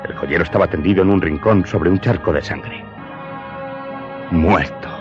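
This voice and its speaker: A man's voice: sits low, natural voice. An actor playing a haunted, humble Corsican smuggler turned servant who confesses his story in a radio drama. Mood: grave, haunted. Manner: deferential, haunted, deliberate storytelling. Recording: muffled audio under prominent music.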